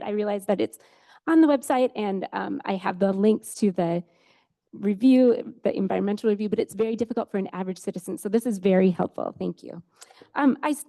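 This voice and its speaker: A woman's voice: medium pitch, creaky, dark tone. A woman speaking as a resident during public comment at a city council meeting, earnest and hesitant with frequent ums.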